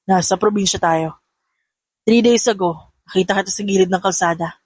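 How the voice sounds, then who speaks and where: A woman voicing a reassuring male doctor with gentle authority and a measured manner. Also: mid-range voice, husky, no room sound, voice pushed down, natural voice. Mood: calm, explaining.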